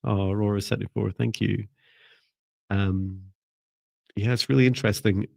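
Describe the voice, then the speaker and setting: A low-pitched man with a gravelly edge to his voice leads a live spiritual class online. He talks in a calm, gentle, sleepy way. He often hesitates and restarts his sentences. The sound is close and dry. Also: normal speed, no room sound. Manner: calm, soft-spoken, gentle. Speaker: spiritual mentor. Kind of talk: live online class, reading viewer comments.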